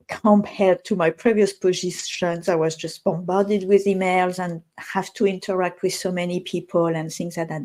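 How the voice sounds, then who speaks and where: A woman from France with a French accent, a native French speaker talking in English as a guest, thoughtful and pausing often to find the right words. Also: fairly low pitch, darkish tone. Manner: thoughtful, pauses to search for words. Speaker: guest, communication specialist. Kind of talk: interview conversation.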